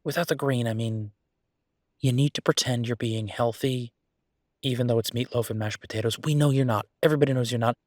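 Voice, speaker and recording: A man playing an affectionate, playfully grumbling young werewolf chef in an intimate, casual boyfriend roleplay audio, chatty and halting. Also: medium pitch, steady tone, a little mellow, no echo, studio quality, lightly acted. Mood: playful, insistent.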